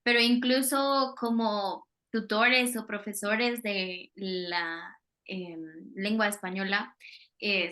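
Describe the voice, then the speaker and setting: A woman's voice: mid-range voice, pushed and bright. A Colombian woman, a Spanish teacher, talking casually in an interview over a video call, hesitating with many fillers.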